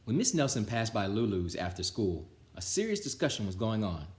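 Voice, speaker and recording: A man reading a children's picture book aloud with an animated, theatrical delivery. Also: on the low side, a little fast. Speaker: storytime reader. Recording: roomy, echoing sound.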